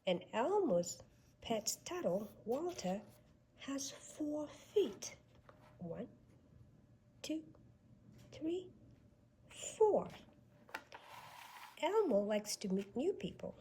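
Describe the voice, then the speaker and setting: Woman, children's storybook reader, slightly high voice, very animated sing-song delivery, read-aloud style. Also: extremely slow, pauses often, a little hiss or hum.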